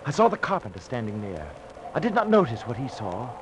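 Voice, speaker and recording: A man's voice: mid-range voice, wildly swooping pitch, fairly quick. An actor playing a haunted, guilt-ridden sailor telling of his doomed voyage in fervent, theatrical 1940s radio drama style. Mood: haunted, awed. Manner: theatrical, fervent, anguished declamation. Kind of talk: 1940s radio drama acting, verse-like narration. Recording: noisy old 1940s radio recording.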